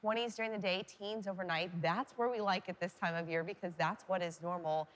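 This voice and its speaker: A woman's voice: lowish voice, fairly even intonation, fairly quick, faint music behind, rough recording. A woman co-anchoring a TV newscast and presenting weather in a conversational broadcast style.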